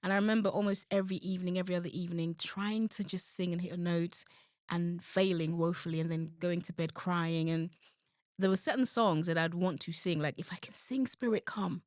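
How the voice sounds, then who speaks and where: A woman's voice: fairly low pitch, fairly even intonation, brisk, no room sound, studio quality. A woman guest on an interview show, a gospel singer talking earnestly and reflectively about her music and faith. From London. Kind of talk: casual interview talk.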